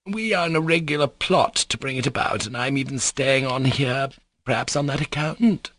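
A man's voice: medium pitch, normal speed, fairly bright tone, dry recording, pitched above the performer's own voice, natural voice. A man voicing a gushing, flighty middle-aged society mother who exclaims and repeats herself in an audiobook story. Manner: effusive, repetitive, exclaiming.

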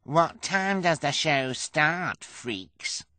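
A man putting on a bright, sneering rude heckler. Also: medium pitch, very animated, no echo, voice pushed up. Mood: sneering, sarcastic. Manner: sarcastic, drawn-out jeering. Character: rude, sneering pimpled man who taunts freaks.

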